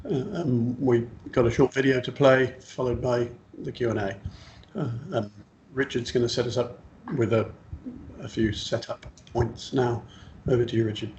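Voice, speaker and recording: A man in his sixties with a slightly croaky voice, opening a webinar in a relaxed, hesitant way with many fillers. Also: medium pitch, moderate pace. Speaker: webinar host and company founder. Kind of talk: live webinar welcome. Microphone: video call. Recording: dull lo-fi call audio with faint noise.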